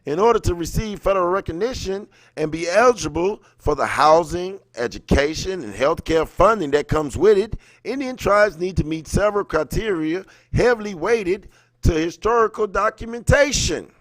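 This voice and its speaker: A man's voice: high-pitched, animated, moderate pace, no echo. Informal man livestreaming, close dry sound. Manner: informal, slangy, greets viewers by name mid-thought. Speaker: livestream host. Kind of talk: casual livestream chat with read-aloud passages.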